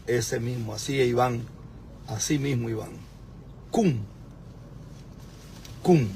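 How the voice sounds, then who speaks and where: A man's voice: medium pitch, takes it slowly, darkish tone, a little hiss or hum. A man in his fifties preaching emphatically during a live stream from his car, repeating words for stress. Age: 50s. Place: in a car at a pay point.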